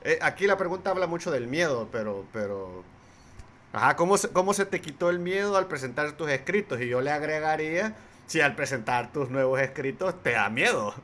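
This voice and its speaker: A man's voice: on the high side, lively intonation, brisk, fairly bright tone. A man with a Salvadoran accent hosting a casual livestream interview, hesitant and repeating words as he forms his questions.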